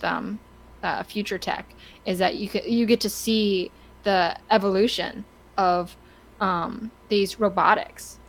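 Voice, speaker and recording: A woman co-hosting a podcast, talking casually and with opinions in a halting, stop-start way as she searches for words. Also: mid-range voice, fairly bright tone, low-quality recording. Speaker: podcast co-host.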